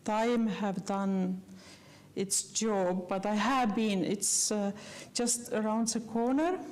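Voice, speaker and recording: A woman giving a slide lecture in English, which is not her first language, talking earnestly and haltingly with many fillers and restarts. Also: mid-range voice, fairly even intonation, a little mellow. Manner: earnest, personal.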